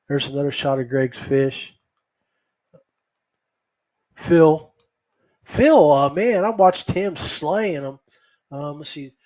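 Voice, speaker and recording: A man hosting a podcast, giving a casual, unscripted recap in a halting, folksy, conversational way. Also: mid-range voice. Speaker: podcast host.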